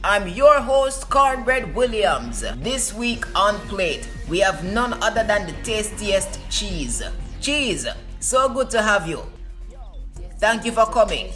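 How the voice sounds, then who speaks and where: A man voicing a gushing male talk-show host with a showy, over-bright announcer delivery. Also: high voice, very animated, takes it slowly, soft background music, voice pushed up, full character voice.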